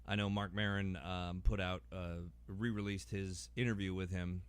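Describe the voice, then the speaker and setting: A man's voice: low voice, flat delivery, normal speed, smooth. A man chatting casually as a podcast guest, talkative and given to joking.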